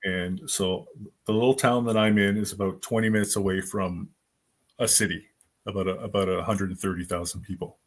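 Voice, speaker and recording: A man in his fifties, a guest author, telling his stories in a rambling, animated way with lots of fillers. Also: low-pitched, a little fast. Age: 50s. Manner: animated, rambling storyteller with many fillers and restarts. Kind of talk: casual storytelling in a podcast interview.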